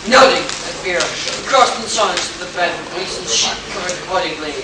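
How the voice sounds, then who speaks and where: A man's voice: high-pitched, fairly quick. A man reading scripted dramatic narration for an amateur radio play, recorded at a distance in an echoey, noisy space.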